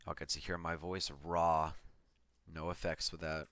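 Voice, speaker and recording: Deep-voiced man in casual music breakdown. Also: flat delivery, unhurried, fairly bright tone. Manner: offhand, self-deprecating. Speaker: electronic music producer. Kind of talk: casual track breakdown commentary. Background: music from the track being played.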